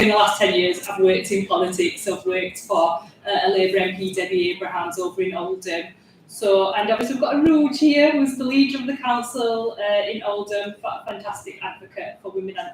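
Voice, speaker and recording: A woman's voice: medium pitch, a little hiss or hum. A woman with a strong Yorkshire accent, a parliamentary candidate, introducing herself chattily on a panel. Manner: chatty, laughs often. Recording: echoey and distant from the mic.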